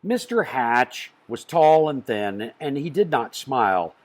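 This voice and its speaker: Animated man reading a children's story. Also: medium pitch, takes it slowly. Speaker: storybook reader. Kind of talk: expressive children's story read-aloud.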